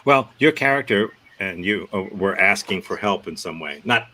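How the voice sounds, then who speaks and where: A low-voiced man runs an improv class, talking through a scene in a loose, rambling way. He corrects himself often and stays upbeat as he explains the next exercise. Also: animated, normal speed, bright, forceful tone, a little hiss or hum. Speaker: improv workshop teacher. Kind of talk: casual workshop feedback and instruction.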